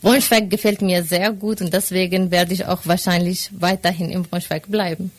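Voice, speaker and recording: A woman's voice: fairly low pitch, level tone, moderate pace, a little hiss or hum. A woman from Syria, now a student, telling her story in an interview. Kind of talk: personal account in an interview.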